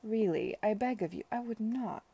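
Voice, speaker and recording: A woman's voice: fairly high pitch, moderate pace, breathy edge, pitched above the performer's own voice. A woman voicing an adoring young lord who coaxes and pleads. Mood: pleading. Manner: pleading, warm-hearted.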